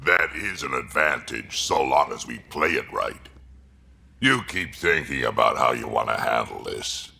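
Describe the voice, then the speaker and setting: An actor playing a gruff, blunt, battle-hardened alien warrior in a video game, with a deep, gravelly voice. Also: male voice, animated, a little slow, pushed and bright, heavily acted. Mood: grim, wary.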